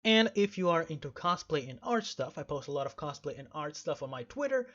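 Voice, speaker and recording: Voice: medium pitch, big pitch swings, brisk, fairly bright tone. A let's play host with a middle-register voice of unclear sex, speaking through a dull, lo-fi microphone. The commentary rambles along emphatically, with phrases repeated and a mock-stern delivery. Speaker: video game streamer and host.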